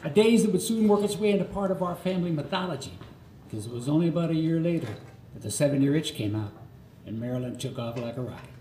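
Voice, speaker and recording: Higher-pitched older American man, roomy live storytelling. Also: lively intonation, warm tone. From Florida, United States. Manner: nostalgic, theatrical storytelling with dramatic pauses. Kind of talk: live autobiographical monologue for radio theatre. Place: live radio theatre performance. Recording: roomy live recording with faint noise.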